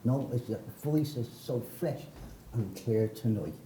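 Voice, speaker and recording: A man's voice: mid-range voice, animated, measured pace, warm tone, roomy sound, slight background noise, close to an everyday voice, rough recording. A man playing an effusive young man who insists on his praise. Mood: gushing. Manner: gushing, insistent.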